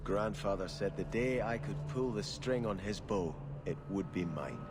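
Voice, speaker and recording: Game role: male actor as devout Scottish archer prince, low voice, measured and formal, noisy ambience behind. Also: close to an everyday voice. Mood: solemn, reflective. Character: pious, earnest young exiled prince and archer. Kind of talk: dramatic video game dialogue.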